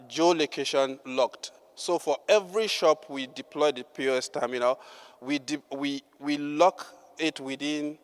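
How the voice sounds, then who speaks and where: Measured man answering judges in hall. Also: medium pitch, clear, resonant tone. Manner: measured, with many fillers. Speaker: startup presenter answering judges. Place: large hall. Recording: long hall reverb.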